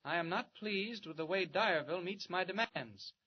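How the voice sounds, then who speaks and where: A muffled, noisy old radio recording carries a man acting a brave hero of a 1940s serial. His voice is notably high, with brisk, earnest, decisive delivery. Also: close to an everyday voice. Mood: thoughtful, resolute. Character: brave, quick-thinking man, reporter who is secretly a superhero.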